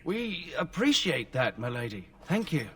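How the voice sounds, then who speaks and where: Bumbling castle guard, kinda high, hesitant, voice goes up and down. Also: male voice, big pitch swings, a little slow, fairly bright tone, heavily acted. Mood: sheepish. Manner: hesitant, deferential. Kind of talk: fantasy video game acting.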